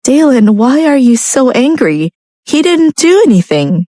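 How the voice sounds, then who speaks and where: A woman voicing a desperate young wife who pleads with her husband through tears, her voice pitched high above her narration. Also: very animated, unhurried, dark tone, no echo, studio quality. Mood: pleading.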